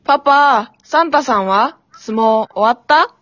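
Woman acting a bright, high little boy. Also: animated, a little slow, dry recording, dull audio. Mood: excited. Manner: eager, childlike. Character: small, innocent, wide-eyed excited son. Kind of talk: amateur comedy radio drama acting.

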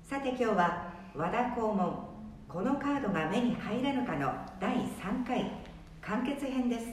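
A woman hosting a radio show, reading a scripted introduction and sign-off. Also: medium pitch. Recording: very echoey room, distant mic, faint noise.

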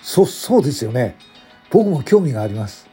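A man's voice: mid-range voice, very animated, brisk, dark tone, husky, faint music behind, voice pushed up. A man playing a polite, deferential junior detective in a radio drama, asking hesitant questions. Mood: curious.

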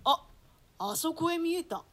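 Casting: female actor as rough male assassin with a conscience, high voice, comic-storyteller delivery of classical drama. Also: lively intonation, a little slow, fairly bright tone, full character voice. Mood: anguished storytelling. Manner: coarse colloquial speech, swinging into theatrical quoted voices. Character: rough male hired killer, shaken by pity and horror. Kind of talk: Shakespeare monologue in comic rakugo-like storytelling style.

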